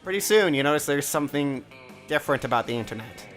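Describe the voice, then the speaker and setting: A player reading game text aloud with wry, deadpan asides. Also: mid-range voice, quick pace, faint music behind. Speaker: let's play narrator.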